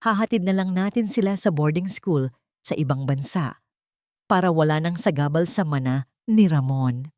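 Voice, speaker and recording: A cold, scheming stepmother from an audio story, acted by a woman narrator. She is sharp, angry and impatient, recorded dry and close. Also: low voice, normal speed, no echo, close to an everyday voice. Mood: angry, scornful.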